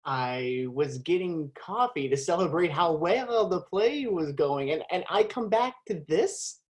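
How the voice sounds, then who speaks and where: A woman's voice: on the low side, animated, measured pace, darkish tone. Woman as an exasperated playwright. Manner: exasperated, complaining. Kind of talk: amateur theatre acting.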